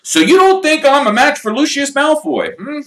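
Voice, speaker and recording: A man voicing an excitable, kindly middle-aged father who gets indignant and enthusiastic, read in an echoey room. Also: fairly high pitch, slow pace, far from the mic, voice pushed up, exaggerated voice. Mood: excited.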